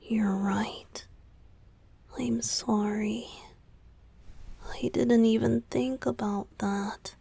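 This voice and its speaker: Casting: woman as young male idol boyfriend for romantic ASMR audio drama; tender, wistful, slow, breathy whispering with creaky edges. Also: fairly high pitch, fairly even intonation, no room sound, exaggerated voice. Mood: apologetic, tender. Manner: soft, hesitant, pleading, confiding.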